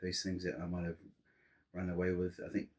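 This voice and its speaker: A man's voice: deep voice, completely flat delivery, fairly quick, breathy edge. A middle-aged man from Samoa, a podcast guest chatting casually and rambling with many restarts. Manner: rambling, restarts and fillers, warm laughter-prone storytelling. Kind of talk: casual interview chat.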